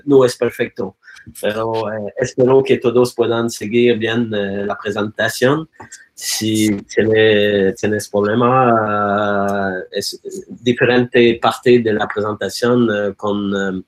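A man whose first language is French, giving an online guest lecture in hesitant speech with many fillers and stray English and French words. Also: medium pitch. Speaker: guest speaker. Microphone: video call.

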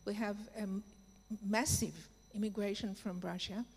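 Slow, measured woman in a hall. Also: mid-range voice, fairly even intonation, darkish tone, breathy edge. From Israel. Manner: measured, pausing often with fillers. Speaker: panel speaker. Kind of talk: conference panel answer. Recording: reverberant hall.

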